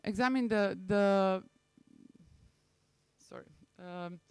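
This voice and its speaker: A woman with a Serbian accent giving a prepared conference presentation in a hesitant, halting manner, often restarting phrases. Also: mid-range voice, clear, resonant tone, no echo. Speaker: conference presenter, maritime spatial planner.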